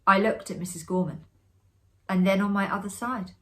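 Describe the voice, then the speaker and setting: A woman giving a dramatic, expressive reading of a classic ghost story as a storyteller. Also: mid-range voice, normal speed. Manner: expressive, acting out the dialogue. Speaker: storyteller, amateur theatre performer.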